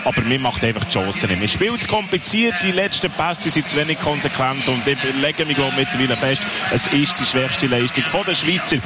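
A man's voice: mid-range voice, animated, a little fast, very noisy. A man with a Swiss German accent giving critical live ice hockey commentary.

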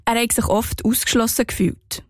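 A woman with a Swiss German accent narrating a scripted radio report in a calm, clear storytelling manner. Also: fairly high pitch, moderate pace, dry recording, studio quality.

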